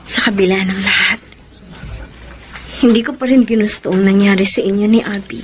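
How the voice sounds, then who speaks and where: A woman's voice: medium pitch, steady tone, moderate pace, a little hiss or hum, natural voice. An actress with a Filipino accent playing a hurt but kind-hearted young woman in an emotive, plaintive radio romance style. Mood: subdued, forgiving.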